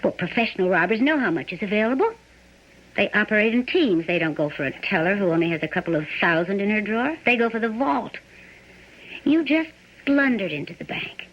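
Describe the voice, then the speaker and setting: A woman acts out a shrewd hostage who probes and reasons in a radio drama. Her voice sits at a middling pitch. The broadcast sound is dull and lo-fi. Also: lively intonation, brisk, natural voice. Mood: probing, skeptical. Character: shrewd, probing woman held hostage in a bank. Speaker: actor.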